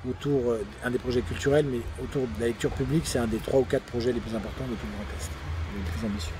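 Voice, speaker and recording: A man informally presents a project to visitors as a local official, explaining in hesitant phrases and pausing to search for words. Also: mid-range voice, steady tone. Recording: noisy outdoor urban ambience, vehicles.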